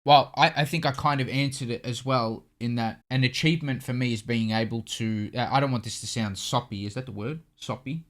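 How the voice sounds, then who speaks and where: A man's voice: medium pitch, brisk, few pauses. A man in his thirties, co-host of a reaction channel, chatting in an easygoing, rambling way. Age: 30s. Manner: easygoing, chatty, with restarts and laughs. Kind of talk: casual chat.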